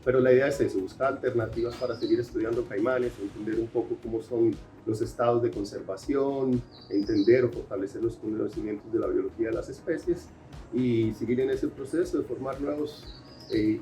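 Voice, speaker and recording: A Colombian man whose Spanish carries Brazilian Portuguese traces. He answers at moderate pitch, often pausing on "eh" and restarting phrases. Soft music plays faintly behind him. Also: fairly even intonation. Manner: hesitant, many fillers and restarts. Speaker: researcher guest. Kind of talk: interview answers.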